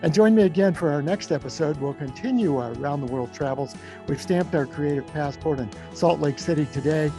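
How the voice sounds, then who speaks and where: A man's voice: fairly high pitch, brisk, music in the background. A man speaking as the host of an interview podcast.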